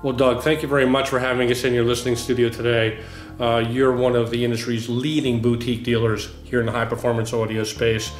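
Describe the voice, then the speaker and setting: A man's voice: medium pitch, little pitch movement, a little fast. A man hosting an informal on-camera interview as the interviewer. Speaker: interviewer, host. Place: indoor listening studio. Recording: roomy sound with guitar music. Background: clear guitar music.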